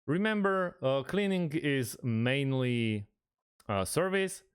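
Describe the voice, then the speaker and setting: A man with a medium-pitched voice presents an on-screen walkthrough as a tutorial host. He goes step by step in a halting way, often hesitating in the middle of a phrase. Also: lively intonation, smooth.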